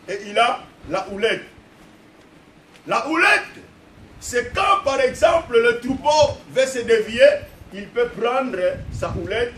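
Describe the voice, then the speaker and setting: A man delivers an impassioned sermon, his voice sitting extremely high for a man. He shouts and hammers phrases over and over. The sound is echoey and distant, with noise underneath. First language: Portuguese. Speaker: pastor. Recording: echoey room, distant mic, background noise.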